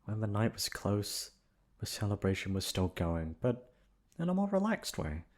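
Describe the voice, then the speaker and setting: Low-voiced man narrating a bedtime story. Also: huge pitch swings, normal speed, a little mellow. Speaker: voice actor reading a bedtime story. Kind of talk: soft storybook narration, ASMR sleep aid.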